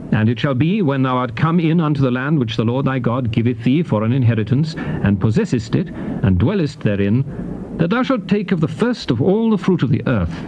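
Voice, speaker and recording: A dull, clearly noisy cassette recording carries an actor playing a solemn male lawgiver. He declaims scripture in a measured, commanding manner, with a mid-pitched voice that rises and falls in lively sweeps. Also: lightly acted. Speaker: scripture narrator. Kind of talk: dramatized King James Bible reading.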